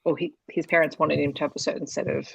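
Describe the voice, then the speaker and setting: A woman's voice: sits low, flat delivery, quick pace, creaky, a bit bright, slightly rough, no echo, poor audio. A woman co-hosting a casual book chat, reflectively talking through her thoughts in long run-on sentences. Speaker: co-host of a book discussion livestream.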